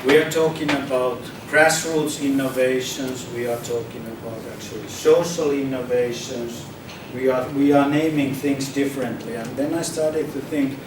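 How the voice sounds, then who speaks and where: A man from Finland talks to an audience in a large, heavily echoing hall, heard from across the room. He speaks hesitantly, repeating words and restarting his sentences. A steady noise sits under his voice. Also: mid-range voice. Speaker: speaker at a public debate. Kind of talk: informal public talk. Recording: echoey hall from far off, noisy.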